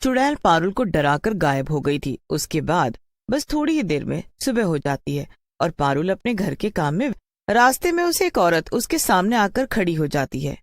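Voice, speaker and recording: A woman's voice: on the low side, very animated, moderate pace, dry recording. Woman narrating in a dry studio sound. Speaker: storyteller. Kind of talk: scripted story narration.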